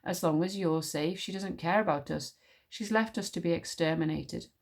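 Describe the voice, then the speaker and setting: A woman's voice: fairly low pitch, moderate pace, vocal fry. A woman voicing a boastful, moody thirteen-year-old wizard boy in an audiobook, cocky and grandiose. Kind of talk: audiobook character voice.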